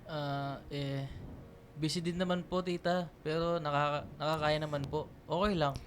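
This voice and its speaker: A man's voice: a little high, little pitch movement, normal speed, faint music behind, close to an everyday voice. A man playing a shy, earnest young college suitor in a radio drama, hesitant and polite. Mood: nervous, earnest. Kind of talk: Filipino radio drama acting.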